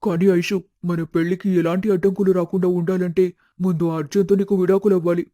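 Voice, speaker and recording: A man performs an earnest young lover in an animated moral tale. His voice is high-pitched for a male yet soft-edged and mellow, with dramatic delivery. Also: fast talker, no room sound, lightly acted. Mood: earnest concern. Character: young suitor, earnest and devoted, later stern. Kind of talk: animated moral-story dialogue.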